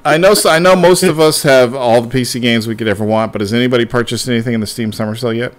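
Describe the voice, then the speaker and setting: A man's voice: mid-range voice, very fast. A man hosting a casual podcast chat, blunt and exasperated, swearing as he talks. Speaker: podcast host.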